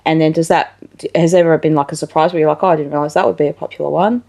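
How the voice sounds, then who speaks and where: A woman's voice: deep voice, steady tone, very fast, creaky, darkish tone. An Australian woman hosting a casual interview, talking in an informal, rambling way. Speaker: interview show host.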